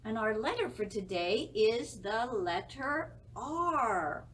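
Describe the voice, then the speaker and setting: A woman speaks very slowly to small children, with a highly animated, sing-song delivery. She is leading a story time, prompting with questions, and sounds distant from the mic over faint noise. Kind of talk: leading letters and counting for young children.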